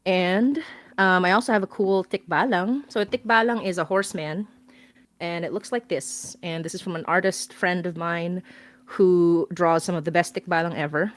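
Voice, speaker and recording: A woman's voice: fairly low pitch, moderate pace. A woman chatting casually on a livestream as a guest artist, laughing often and trailing off with ums.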